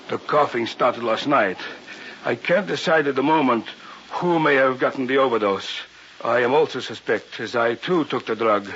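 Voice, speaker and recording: An actor playing a secretive, authoritative doctor in an old-time radio drama, on a muffled, hissy recording. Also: male voice, mid-range voice, a bit bright, close to an everyday voice. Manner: formal, authoritative, theatrical. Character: secretive doctor, commanding host of an island weekend.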